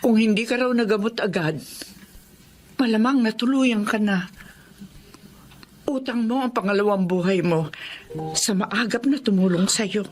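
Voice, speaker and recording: An actress playing a caring woman at a bedside in a Filipino radio drama, deliberate and concerned. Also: mid-range voice, soft background music, natural voice. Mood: relieved, concerned.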